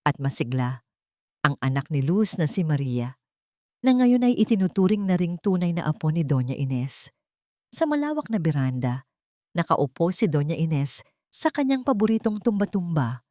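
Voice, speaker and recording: A woman reading a scripted dramatic story in a measured, sentimental storytelling manner. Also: lowish voice, very animated, darkish tone, dry recording, studio quality. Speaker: audio drama narrator.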